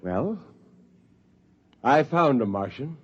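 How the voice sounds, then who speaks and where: Intense poetic spaceman, brooding zealot, husky, wide expressive range, dull vintage radio sound. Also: medium pitch, exaggerated voice. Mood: brooding, quietly menacing. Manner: intense, deliberate, literate. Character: brooding, poetic male spaceman turned cold-blooded zealot. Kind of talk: 1950s radio drama acting.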